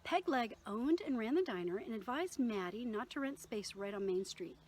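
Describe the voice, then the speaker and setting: A woman reading her own novel aloud in an expressive storytelling manner, with occasional small stumbles. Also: a little high, moderate pace.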